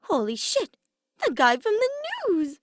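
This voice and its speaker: A woman voicing a cocky, mischievous teenage boy who talks loud and proud in a teasing way, in a fan fiction audiobook. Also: sits high, very animated, unhurried, dry recording, studio quality, pitched above the performer's own voice. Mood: brash, excited. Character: cocky, mischievous teenage boy, a self-styled supreme leader.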